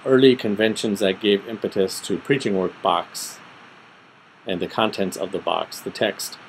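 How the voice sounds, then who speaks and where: A middle-aged man giving an informal, step-by-step screen tutorial, thinking aloud methodically with pauses. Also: lowish voice, a little hiss or hum, poor audio. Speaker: tutorial presenter. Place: small room at home, indoors.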